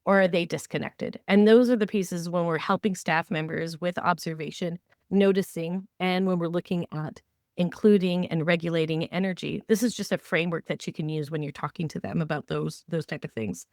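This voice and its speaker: A woman hosting a podcast, talking conversationally and explaining with animated examples. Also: fairly low pitch, a little fast, no echo, clean studio sound. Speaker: podcast host. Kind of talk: conversational training discussion.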